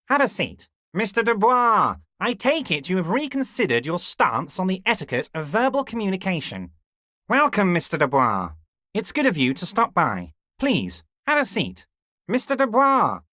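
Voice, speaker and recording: An oily, high-voiced union boss. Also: male voice, pushed and bright, no echo, clean studio sound, heavily acted. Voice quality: oily, ingratiating and patronizing. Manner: slow, deliberate, teasing. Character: smooth, scheming middle-aged male union boss. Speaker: voice actor. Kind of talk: video game character voice lines.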